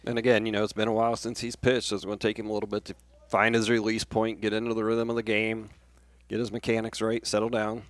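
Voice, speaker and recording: A man offers casual baseball analysis as a color commentator. His voice is on the low side and he talks rapidly, in a dry recording. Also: completely flat delivery, no room sound.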